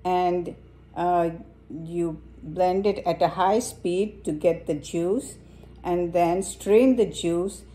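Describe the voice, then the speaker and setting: A woman presenting a simple science demonstration for children in a kitchen, explaining step by step in a halting way. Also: on the low side, mellow. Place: home kitchen, indoors.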